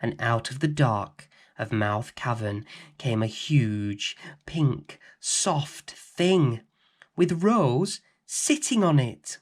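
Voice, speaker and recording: A man reading a children's bedtime story aloud as host, in an expressive, dramatic, theatrical manner. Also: medium pitch. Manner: dramatic, theatrical, deliberate phrasing.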